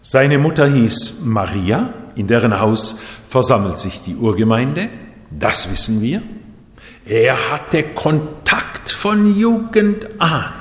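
A man from Japan preaching a sermon in a measured way, his voice rising and falling for emphasis. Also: mid-range voice, very animated. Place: church hall. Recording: echoey hall, voice set back.

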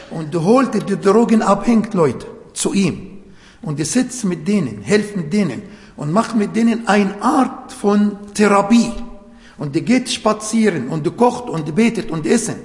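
A man's voice: sits high, a little mellow. A man whose first language is Arabic, preaching a sermon in German in an earnest, emphatic way with grammatical slips. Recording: echoey hall with long reverb.

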